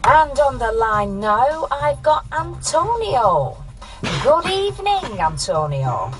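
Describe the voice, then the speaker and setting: A flirty female phone-in host in a radio play. Her teasing patter bounces up and down in pitch. Music runs clearly behind her. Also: very animated, slow pace, heavily acted, rough recording. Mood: bright, flirty.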